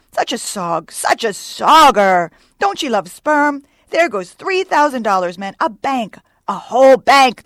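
A woman acts out a frantic little-tempered, excitable whaling mate, a man in an audiobook sea tale. He wails and pleads in a high, loud voice with jumpy pitch. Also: no echo, heavily acted. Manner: wailing, pleading, exclamatory, repetitive. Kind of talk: audiobook character voice.

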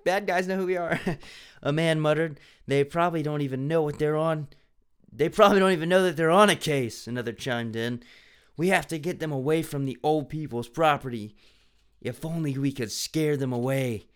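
A young man reads a cheesy children's mystery aloud with mock drama, throwing in sarcastic asides. His voice sits a bit high for a man and comes through smooth and clear. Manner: sarcastic, laughing at the text. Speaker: reader of a children's mystery book.

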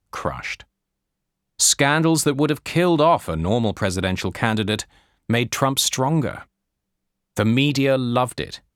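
A man narrates a nonfiction audiobook in a fairly low voice. The recording is dry and close, with no room sound. He phrases the scripted text in a measured, deliberate way. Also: a bit bright, no room sound.

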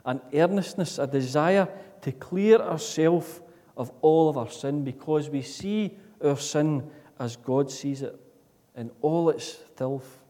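A man preaching a sermon in a church, earnest, with repeated rhetorical questions that swing between loud and soft. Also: medium pitch. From Scotland. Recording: long reverb of a church hall.

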